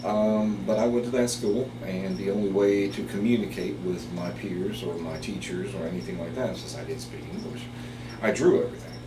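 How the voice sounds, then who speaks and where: A middle-aged man from Taiwan, whose first language is Chinese, telling his story in an interview as a biology professor. He speaks unhurriedly, with many pauses and fillers. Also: low-pitched, distant mic. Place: office. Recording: roomy, off-mic, with background hiss.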